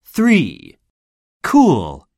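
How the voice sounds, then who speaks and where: A woman reading a scripted textbook listening drill, saying words slowly and deliberately for learners to repeat. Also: sits low, huge pitch swings, mellow, no room sound, studio quality. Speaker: lesson narrator.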